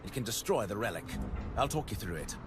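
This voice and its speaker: An actor playing an eager, talkative ally who explains missions with theatrical enthusiasm in a video game. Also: male voice, on the low side, sing-song, brisk, music in the background. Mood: eager briefing.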